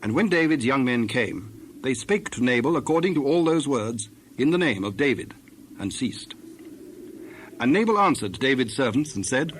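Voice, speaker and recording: A man's voice: mid-range voice, expressive, moderate pace. Man, narrator, mid-range voice, scripted Bible storytelling, faint ambient noise.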